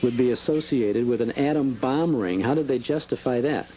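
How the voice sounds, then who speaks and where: A middle-aged man hosts a relaxed chat with a guest, joking easily and steering with leading questions. His voice sits at an ordinary pitch. A faint hum runs under the old cable TV audio. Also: moderate pace, rough recording. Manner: chatty, jokey, asks leading questions. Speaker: show host. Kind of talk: casual interview chat.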